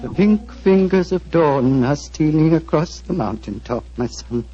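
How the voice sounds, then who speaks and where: Casting: gentle elderly monastery abbot, soft mellow tone, lilting pitch, stately radio-play delivery. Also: male voice, expressive, measured pace, a little hiss or hum. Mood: solemn. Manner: stately, paternal. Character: gentle, solemn old Tibetan abbot. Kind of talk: 1940s radio acting. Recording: dull 1940s radio with music.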